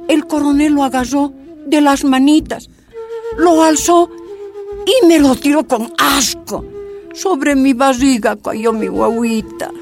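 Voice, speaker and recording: A woman's voice: sits high, darkish tone, full character voice. A woman playing a wounded prisoner who tells her ordeal plaintively in a radio drama. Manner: plaintive, tender storytelling. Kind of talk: first-person radio drama narration.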